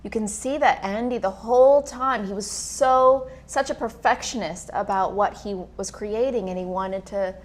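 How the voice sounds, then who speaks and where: Woman actor, mid pitch, chatty and laughing, junket interview. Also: normal speed, few pauses. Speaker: actress being interviewed. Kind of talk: casual interview chat.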